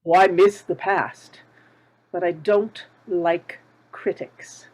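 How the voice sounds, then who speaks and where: A woman's voice: on the low side, fairly even intonation, extremely slow, a little mellow, rough recording. A woman reading a shrewd, haughty elderly landlady at a table read, probing with each question.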